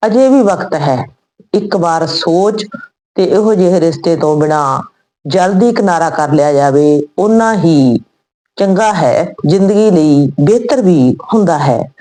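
Low, mellow woman storyteller. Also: animated, unhurried, low-quality recording. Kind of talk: scripted moral story narration.

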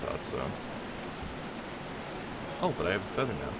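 A man casually commentating a game as he plays, chatting and reacting aloud in a relaxed way. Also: low-pitched, normal speed, gravelly, heavy background noise. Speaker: gameplay commentator. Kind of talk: casual let's-play commentary.

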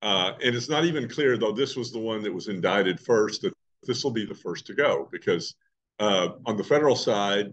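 A man in his sixties, a trial lawyer, giving a relaxed talk to a meeting in a loud voice. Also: lowish voice, moderate pace, highs cut off. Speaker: guest speaker, trial lawyer. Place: indoor meeting room. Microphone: webcam from a distance.

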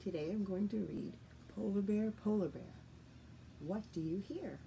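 A middle-aged woman, a teacher reading a picture book aloud to young children in a deliberate, sing-song, expressive way, recorded at home. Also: mid-range voice, gravelly. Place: living room at home, indoors. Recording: faint steady noise, home room recording.

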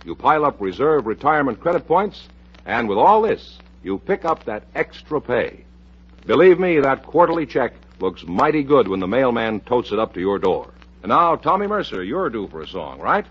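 An American man works as a vintage radio announcer, selling in a folksy, upbeat and polished way. His voice is quite husky and swings animatedly in pitch. The sound is a dull old radio transcription. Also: big pitch swings, normal speed. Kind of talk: 1950s radio recruitment pitch and show hosting.

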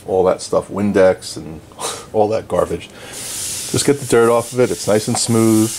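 A man giving a relaxed, chatty hands-on repair tutorial at his indoor workbench, talking to the camera while he works. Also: lowish voice, unhurried, a little hiss or hum, poor audio. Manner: relaxed, chatty, frequent "okay?" and "um". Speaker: vintage radio restorer.

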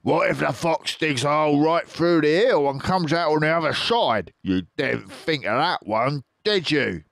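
A greedy storybook farmer shouts his taunts in a raised, pushed voice, voiced by a man. The voice lifts well above the reader's own and stays loud, dry and close. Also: fairly high pitch, lively intonation, measured pace, gravelly, hardly any pauses, no room sound, pitched above the performer's own voice, heavily acted. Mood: taunting. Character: gruff greedy male farmer.

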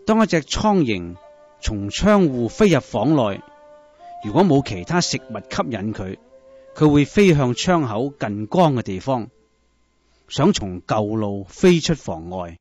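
Slow, expressive Cantonese male storyteller. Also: medium pitch, highs cut off, soft background music. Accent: Hong Kong Cantonese. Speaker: narrator of Buddhist stories. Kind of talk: scripted storytelling narration.

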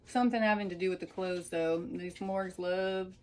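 A woman in her forties telling stories in an animated, casual chat with a friend, peppered with "like". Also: fairly low pitch, moderate pace. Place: small living room, indoors. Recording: clearly noisy room ambience.

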